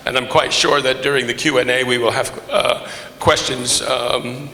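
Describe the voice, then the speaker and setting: A man whose first language is Polish, moderating a panel in English, formal and courteous, halting with many fillers and restarts. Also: mid-range voice, fairly even intonation, pushed and bright, slight background noise. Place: large conference hall with podium. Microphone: microphone. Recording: echoey hall with long reverb.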